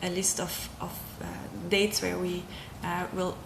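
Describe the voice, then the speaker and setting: A woman's voice: on the low side, level tone, unhurried, gravelly, echoey room, noisy background. A young woman from Belgium, a circus artist, talking casually about her work, hesitant with many ums and restarts. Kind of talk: casual interview talk.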